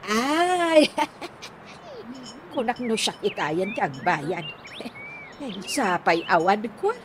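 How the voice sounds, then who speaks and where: Woman acting a high, swooping scheming wife. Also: wildly swooping pitch, extremely slow, noisy background, heavily acted. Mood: coy, surprised. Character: chatty, scheming wife and best friend. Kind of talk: Ilocano radio comedy drama acting.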